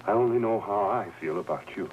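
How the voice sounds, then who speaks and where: An actor playing an earnest employee and lovesick secret lover in a 1950s crime drama, speaking in a halting way. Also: male voice, fairly low pitch, dull audio, lightly acted. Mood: earnest pleading. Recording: noisy old 1950s TV drama soundtrack.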